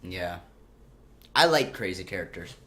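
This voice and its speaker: A man's voice: sits low, lively intonation, a little slow, sharp tone. A young man co-hosting a casual chat, rambling and losing his train of thought. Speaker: co-host.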